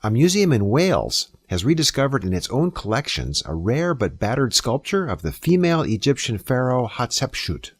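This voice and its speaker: A man's voice: medium pitch, slightly rough. A man reading a scripted introduction as the host of a news programme. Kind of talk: scripted announcement.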